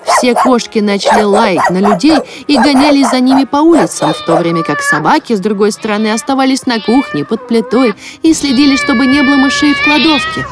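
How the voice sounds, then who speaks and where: A female storyteller narrates a children's cartoon fairy tale from a script. Her voice is fairly high, with lively, expressive intonation. Music is clearly heard beneath it. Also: a little fast, few pauses. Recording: music behind the voice.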